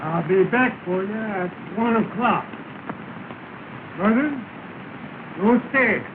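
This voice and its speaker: Nervous high-pitched scaredy guy, slow hesitant drawl, hissy tinny 1930 movie sound. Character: timid, nervous young man. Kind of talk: 1930 film comedy acting. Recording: scratchy tinny 1930 film soundtrack with hiss.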